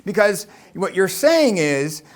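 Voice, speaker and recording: An American man with a fairly high voice gives a talk to a room of listeners. He explains step by step and often poses rhetorical questions. His tone stays casual and conversational. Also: very animated, takes it slowly. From Los Angeles, United States. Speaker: lecturer. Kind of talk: presentation talk to an audience.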